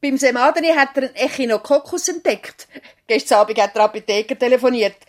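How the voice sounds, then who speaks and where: An actress with a Swiss German accent playing a loyal, chatty doctor's assistant in a 1970s radio play, reporting in an eager, matter-of-fact way. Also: female voice, high voice, fast, natural voice. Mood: eager, admiring.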